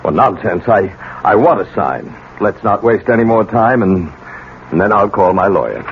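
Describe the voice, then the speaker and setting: An actor playing a dignified middle-aged professor, cool, formal and precise, in a 1970s radio drama. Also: male voice, lowish voice, expressive, moderate pace. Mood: impatient, clipped. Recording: tinny, noisy old radio broadcast.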